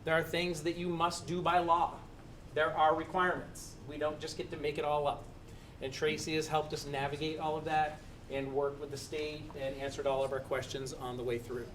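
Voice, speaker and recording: A man's voice: a little high, little pitch movement, moderate pace, fairly bright tone, distant mic. A middle-aged man gives an earnest, slightly rambling presentation to a community meeting in a school building. He sits some way from the microphone, and a faint hiss runs under his voice. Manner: earnest, thankful, a little rambling with restarts. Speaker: committee member presenting.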